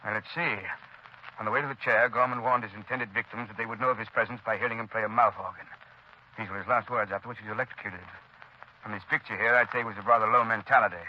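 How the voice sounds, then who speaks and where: A man's voice: fairly low pitch, steady tone, fast. A man acting in a 1930s radio drama with a formal, somewhat pompous delivery. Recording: dull old radio recording, faint hiss.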